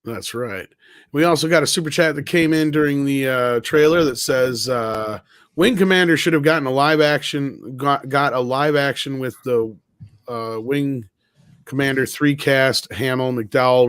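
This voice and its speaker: A man's voice: medium pitch, unhurried, a bit bright, clear, resonant tone, dry recording, clean studio sound. A man co-hosting a live show in a casual, conversational streaming style.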